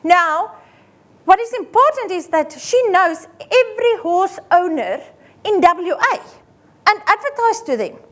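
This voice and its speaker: Afrikaans-accented accountant lady, high voice, lively seminar talk with everyday examples. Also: a little slow. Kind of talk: seminar presentation. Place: seminar room.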